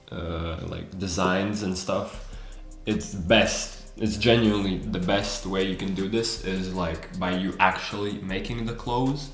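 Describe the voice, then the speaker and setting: A man from Latvia with a Latvian accent, chatting casually as a co-host in a question-and-answer session. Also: deep voice. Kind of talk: casual Q&A chat. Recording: echoey room, background noise.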